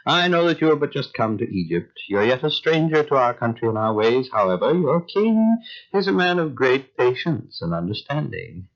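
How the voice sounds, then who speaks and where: A sly, chuckling pharaoh. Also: male voice, mid-range voice, sing-song, moderate pace. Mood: wheedling. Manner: smug, chuckling, hesitant. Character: scheming male Pharaoh, sly and indulgent. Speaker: king of Egypt. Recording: dull lo-fi old recording.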